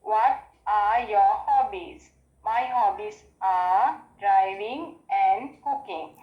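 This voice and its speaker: A woman's voice: medium pitch, slow pace. A woman from Sri Lanka with a Sri Lankan accent, a student who hesitantly repeats each question before she answers it. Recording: dull, echoey call audio.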